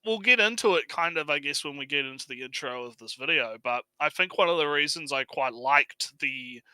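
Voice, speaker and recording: Man, casual stream co-host, very bright sharp voice, dry close sound, repetitive rambling chat. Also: a little high, expressive, fairly quick, smooth, dry recording, low-quality recording. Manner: repeats phrases, rambling. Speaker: livestream co-host. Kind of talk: casual livestream banter.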